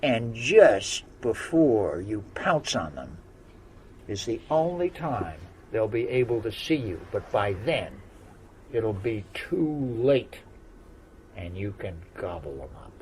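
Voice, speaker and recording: A wicked witch from a bedtime story, scheming and cold as she gives orders, voiced low and slow, by a man barely changing his own voice. Her lines rise and fall widely. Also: big pitch swings, darkish tone, a little hiss or hum, low-quality recording.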